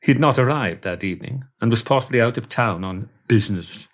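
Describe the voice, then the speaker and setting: A Welsh man telling a comic first-person story in a deadpan, wordy way. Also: mid-range voice, fairly quick.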